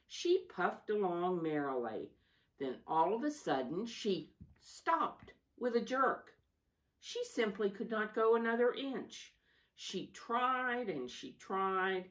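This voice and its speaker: A woman reading a storybook aloud to young children as a teacher, deliberate and instructive, stressing key words and adding simple asides. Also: on the low side. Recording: voice distant from the microphone.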